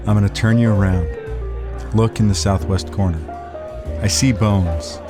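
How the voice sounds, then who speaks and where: An actor playing a cool, cocky, laconic hustler in a hardboiled period radio drama. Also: male voice, on the low side, normal speed, over music, close to an everyday voice. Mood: low-key, guarded. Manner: laconic, wisecracking. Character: wry, cool-headed Prohibition-era male hustler, cornered but cocky.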